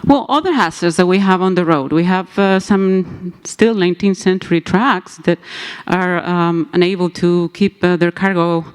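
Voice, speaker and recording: A presenter pitching a hackathon project, speaking hesitantly with many fillers. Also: moderate pace, hardly any pauses, clean tone.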